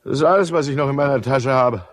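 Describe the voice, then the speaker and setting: A man's voice: mid-range voice, quick pace, close to an everyday voice. A male actor playing a desperate, drunken middle-aged man, maudlin and menacing, in a German radio drama. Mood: bitter, agitated. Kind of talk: German radio drama acting with first-person narration.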